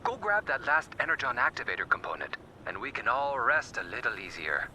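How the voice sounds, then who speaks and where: A man's voice: mid-range voice, wildly swooping pitch, fairly quick, sharp tone, slight background noise, heavily acted. A man playing an encouraging, folksy teammate in a video game, with a hesitant, chatty manner.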